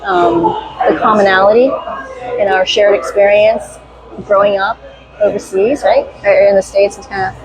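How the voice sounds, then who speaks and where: A woman's voice: medium pitch, fairly even intonation, normal speed, crowd noise, poor audio. A middle-aged woman hosting a casual conversation, chatty and emphatic, full of enthusiasm. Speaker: interview host.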